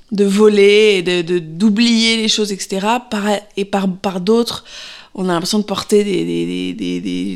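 A woman in her thirties with a gravelly, fry-tinged voice answers interview questions. She thinks aloud, searching for words with many pauses and fillers. Her pitch sits in an ordinary middle range. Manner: hesitant, many fillers and restarts. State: pregnant. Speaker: actress, interview guest.

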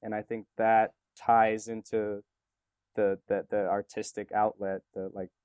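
Romanian-born man, native Romanian speaker, smooth tone, musing casual answers, loose and rambling. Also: fairly low pitch, little pitch movement, a little slow, darkish tone, no room sound, clean studio sound. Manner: reflective, rambling with many fillers. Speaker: interviewee, photographer and musician.

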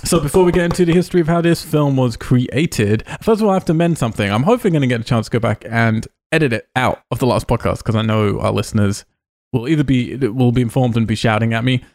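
A man's voice: medium pitch, fast, a little mellow, no echo. A man hosting a casual film podcast, chattily reading out information and adding informed asides. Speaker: podcast host.

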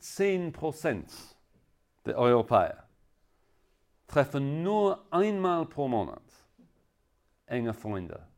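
A man from England with an English accent, a native English speaker preaching as a guest in halting, deliberate non-native speech. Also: medium pitch, darkish tone. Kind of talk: sermon.

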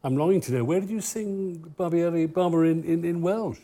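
A man's voice: on the high side, brisk, warm tone. A man moderating an audience Q&A in a formal, courteous way. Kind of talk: hosting an audience Q&A.

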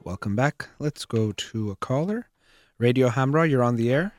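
A middle-aged man hosting a call-in psychology radio show, explaining things in a calm, measured way. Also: medium pitch, music in the background. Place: radio studio.

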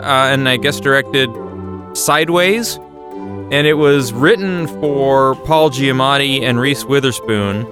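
A man on a podcast panel giving a casual film review in a halting, rambling way. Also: medium pitch, over music.

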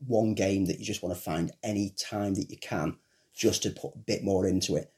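A man's voice: low-pitched, fairly even intonation, normal speed, warm tone, breathy edge. A man co-hosting a film podcast, talking as an enthusiastic fan. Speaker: podcast co-host. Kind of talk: casual chat.

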